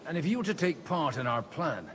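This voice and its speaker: Earnest medieval envoy, very lively intonation. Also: male voice, on the high side, fairly quick, slight background noise. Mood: persuasive. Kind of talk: video game dialogue acting.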